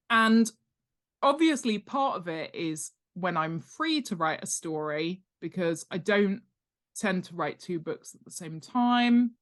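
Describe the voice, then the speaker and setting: A British woman chatting as an expert guest in a casual interview, explaining things in an emphatic, self-deprecating way. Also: fairly low pitch. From United Kingdom. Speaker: guest, author and world-building expert.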